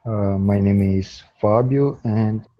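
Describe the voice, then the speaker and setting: A young man from Brazil with a Brazilian accent, a native Portuguese speaker practicing English haltingly, searching for words. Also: fairly low pitch, dull audio. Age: young adult. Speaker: English student.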